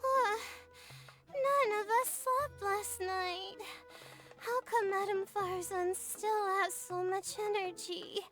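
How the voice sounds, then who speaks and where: A timid, worn-out student girl speaks slowly in a very high, drowsy voice. She is meek and hesitant, a video game character with music underneath. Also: steady tone. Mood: weary, pleading. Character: exhausted timid young female student, meek, sleepy.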